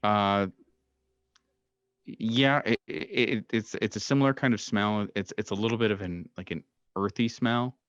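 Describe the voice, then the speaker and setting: A low-pitched man acts as game master for a tabletop horror session, describing scenes in halting, tentative phrases. The recording is dry and somewhat dull and lo-fi. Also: fairly bright tone, no echo.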